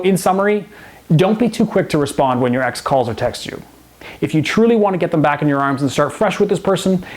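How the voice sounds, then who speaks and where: A man's voice: fairly high pitch, a little mellow. A man speaking as a relationship coach and host, delivering scripted advice straight to the viewer in an instructive way. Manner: instructive, direct address to the viewer. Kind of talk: scripted advice video to camera.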